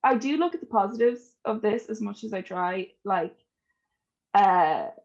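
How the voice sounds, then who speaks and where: A young Irish woman talks as a podcast guest in an informal, chatty way, telling personal stories. Her voice sits at an average pitch for a woman. The recording is a little dull and lo-fi. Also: expressive, unhurried, a little mellow. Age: young adult. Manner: chatty, informal, laughs easily.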